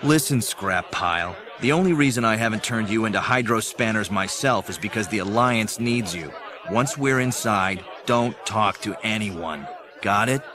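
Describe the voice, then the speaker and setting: An actor playing a gruff, exasperated space smuggler pilot in an audio drama, wry and impatient. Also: male voice, fairly low pitch, animated, normal speed, a crowd in the background, close to an everyday voice. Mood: stern, impatient. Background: music.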